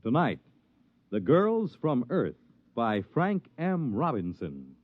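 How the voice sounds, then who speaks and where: A man's voice: mid-range voice, huge pitch swings, slow. A man announcing a scripted 1950s science fiction radio drama in a formal, dramatic manner. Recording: muffled 1950s radio broadcast, music behind. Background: music.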